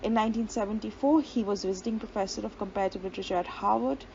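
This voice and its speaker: A woman's voice: mid-range voice, very fast, gravelly, darkish tone. Woman lecturing over dull lo-fi audio. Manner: reads long quotations aloud, occasional fillers and restarts. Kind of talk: explanatory lecture. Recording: dull lo-fi audio with faint hiss.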